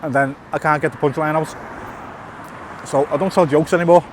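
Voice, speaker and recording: A man's voice: fairly high pitch, steady tone, brisk, a little hiss or hum. A middle-aged man, a lisp and a stutter, talking casually to camera on a walk outdoors. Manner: stutters, repeats and restarts words, fillers. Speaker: YouTuber. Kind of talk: casual walk-and-talk vlog. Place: outdoors in a town, by a tunnel.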